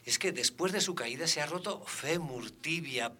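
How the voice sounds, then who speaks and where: A man's voice: a little high, animated, normal speed, bright, forceful tone, breathy edge, exaggerated voice. A man playing a talkative, pious old Benedictine monk in comic radio theatre, rambling and hesitant. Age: older. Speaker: caller to a radio contest.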